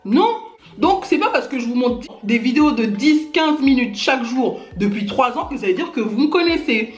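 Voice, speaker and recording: A woman's voice: high-pitched, lively intonation, moderate pace. Woman from gabon venting at critics on camera, fired up, roomy echo and a bit of music behind. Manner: indignant, addresses critics directly, many fillers like "hein" and "genre". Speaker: YouTuber. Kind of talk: ranting to camera. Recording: echoey room with faint music.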